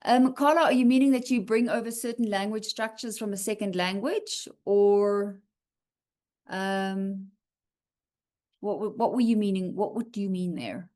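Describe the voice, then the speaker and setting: A woman hosts a live writing feedback session over a video call, with a voice of middling pitch. She talks casually and reassuringly, reads out comments, and keeps checking in with "okay?". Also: unhurried, a little mellow. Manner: chatty, reassuring, frequent "um" and "okay?" check-ins. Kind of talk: casual live chat reading and answering comments.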